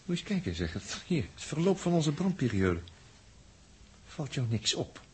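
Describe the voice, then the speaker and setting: Uneasy male crewman, very hoarse-edged timbre, swooping intonation, emphatic, for vintage space radio serial. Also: on the high side, very animated, normal speed, full character voice. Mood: puzzled. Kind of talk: 1960s Dutch radio drama acting.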